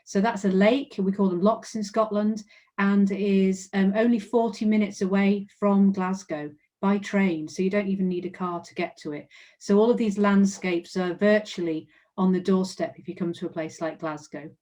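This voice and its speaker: A woman's voice: mid-range voice, steady tone, moderate pace, dark tone. A woman with an English accent giving an online presentation about her university, friendly and informative, with frequent "um" fillers. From England. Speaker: university representative.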